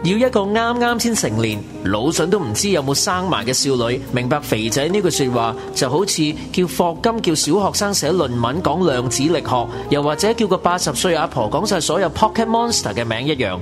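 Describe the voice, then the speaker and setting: A man's voice: a little high, big pitch swings, fairly quick, few pauses, music in the background. A man playing a wry, slangy young narrator in a Cantonese radio drama. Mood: wry narrating. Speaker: narrator and character.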